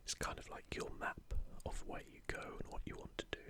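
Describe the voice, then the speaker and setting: A man speaks entirely in a soft, breathy whisper, close to the microphone. He gives ASMR commentary in an informal, slightly hesitant way, as a host showing something to the listener. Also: fast talker.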